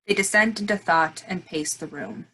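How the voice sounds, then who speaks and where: A woman's voice: on the low side, normal speed, sharp tone, a little hiss or hum, poor audio. A woman reading out stage directions as scripted narration at a play's table reading.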